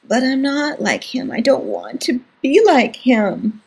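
A woman voicing an earnest, timid young boy who speaks quietly and slowly to his older sister. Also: sits high, animated, slightly rough, pitched above the performer's own voice, exaggerated voice. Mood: tearful.

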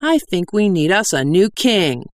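A woman voicing a big, dim male bandit brute in a fantasy audiobook, blunt and belligerent with rough grammar. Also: medium pitch, very animated, measured pace, no echo, pitched above the performer's own voice. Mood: belligerent, petulant. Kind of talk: fantasy audiobook character acting.

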